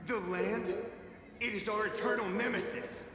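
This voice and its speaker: A man's voice: high-pitched, fairly even intonation, normal speed, natural voice. A man voicing a haughty, mocking sun zealot with pompous, sneering theatrical delivery in a game. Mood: scornful. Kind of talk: theatrical game villain acting. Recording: cavernous reverb, music underneath.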